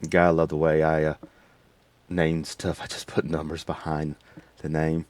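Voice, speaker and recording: A man hosting a casual, unscripted software tutorial in a rambling, folksy way. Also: very deep voice, completely flat delivery, moderate pace, dry recording. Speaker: tutorial host.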